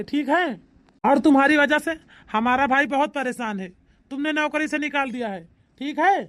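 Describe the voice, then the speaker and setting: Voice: high voice, no room sound, natural voice. A performer voicing a protective, firm elder brother in a cartoon comedy, with an Awadhi accent and an emphatic delivery. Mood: indignant. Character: protective married man, elder brother, firm problem-solver.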